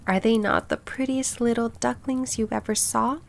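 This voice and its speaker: A woman's voice: a little high, normal speed, gravelly. A woman voicing a fond, proud and bossy mother duck in a storybook, with a fussy, instructive manner. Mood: fond, chatty. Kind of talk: storybook character voice.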